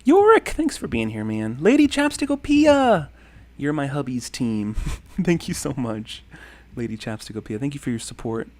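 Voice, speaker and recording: A man's voice: mid-range voice, very animated, moderate pace, a little mellow. Male, thirties, easygoing stream host, rambling chat, mid-range voice. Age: 30s. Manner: rambling, friendly, many ums and thank-yous. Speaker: livestream host. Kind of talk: casual livestream chat reading comments.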